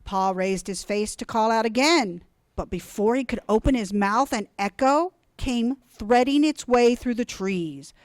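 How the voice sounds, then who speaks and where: A woman reading a children's storybook aloud in an expressive, deliberate, dramatic way. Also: a little high, no room sound. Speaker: storybook reader.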